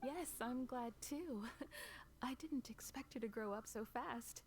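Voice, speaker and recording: A woman playing a gentle, polite young mother in a video game, with a tender, courteous way of speaking. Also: fairly high pitch, animated, takes it slowly. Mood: wistful. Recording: music behind the voice.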